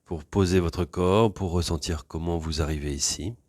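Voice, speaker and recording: A man leading a yoga class, giving calm, unhurried guided instructions for body awareness and breathing. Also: low-pitched, no echo.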